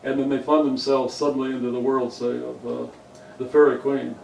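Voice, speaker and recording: A man's voice: medium pitch, little pitch movement, fairly quick, creaky, darkish tone. A man talking in an informal group discussion, wordy and given to digressions. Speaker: writer in a workshop discussion. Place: indoor room, recorded from a distance. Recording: roomy, distant mic, background noise.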